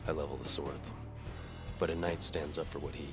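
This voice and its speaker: A man's voice: extremely low pitch, little pitch movement, fairly quick. A man narrating a fantasy audio drama in the first person, as a dramatic, hard-boiled storyteller. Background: music.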